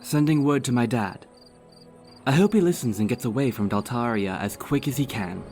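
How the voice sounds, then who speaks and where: A man's voice: medium pitch, animated, normal speed, darkish tone, faint music behind, pitched above the performer's own voice, lightly acted. A man voicing a loyal, good-humored male warrior speaking earnestly to an old friend.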